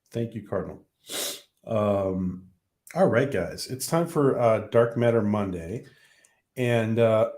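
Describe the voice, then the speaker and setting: A man hosting a casual livestream who is losing his voice. He talks haltingly, with many ums and restarts. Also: fairly low pitch, a little mellow. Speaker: livestream host.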